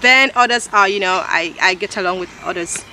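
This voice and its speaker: A Nigerian woman in her thirties chats to the camera outdoors, pausing and restarting her sentences as she goes. Her Nigerian English accent is clear, and her pitch sits in a middle range. Birds and traffic are heard clearly around her in this noisy park recording. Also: bright, forceful tone. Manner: hesitant, halting, restarts and corrects herself often. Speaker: vlogger. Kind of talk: casual talk to camera.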